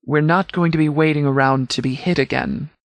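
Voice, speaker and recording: A man voicing a stern military commander in a video game, speaking formally and with measured authority as he briefs. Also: on the high side, fairly even intonation, no echo, natural voice. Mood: grim, resolute. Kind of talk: scripted game dialogue, mission briefing.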